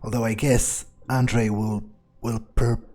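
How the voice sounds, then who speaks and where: Man roleplaying a mellow, brooding, cynical vampire. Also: mid-range voice, flat delivery, lightly acted. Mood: resolved. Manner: halting, brooding, thinking aloud. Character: cynical, foul-mouthed male vampire musing on power. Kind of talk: improvised in-character game roleplay.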